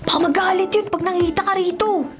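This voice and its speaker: High-pitched, earnest little Filipino boy played by a woman. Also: steady tone, quick pace. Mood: worried. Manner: earnest, polite, anxious. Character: earnest, fearful, curious young boy. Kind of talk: Filipino radio drama acting. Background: background music.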